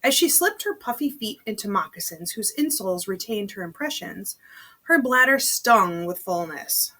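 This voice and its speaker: Chatty woman reading a novel aloud, slightly husky. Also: medium pitch, big pitch swings, sharp tone. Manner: informal, conversational, pausing to comment to the listener. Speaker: amateur read-aloud reader.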